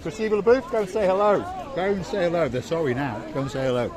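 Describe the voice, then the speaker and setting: A jokey, upbeat Welsh man with a fairly high voice chats his way along a harbour front as he films. The crowd around him is loud and clear: voices and bustle from a busy evening outdoors. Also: animated, fast. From North Wales. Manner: jokey, upbeat, chatty with strangers. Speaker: vlog host. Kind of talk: walking vlog chat, guiding a camera. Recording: outdoor crowd chatter behind.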